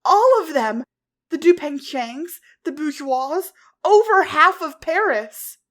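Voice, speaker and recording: A woman voicing a young heroine, fierce yet tender, whose voice rises high and loud with emotion. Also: slow pace, slightly rough, exaggerated voice. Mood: pleading, angry.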